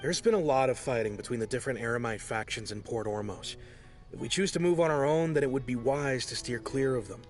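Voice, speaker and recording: An actor voicing a cautious, sensible male student in a video game, measured and formal. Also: medium pitch, faint music behind, lightly acted.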